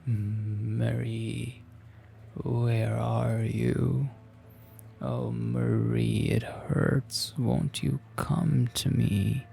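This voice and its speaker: Guy doing a lovesick confused dying husband from a horror game, breathy n pleading, kinda croaky. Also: medium pitch, flat delivery, mellow, soft background music, exaggerated voice. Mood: pained pleading. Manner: slow, breathy, pleading delivery. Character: ailing, bewildered husband, anguished and lovesick. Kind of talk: visual novel voice acting.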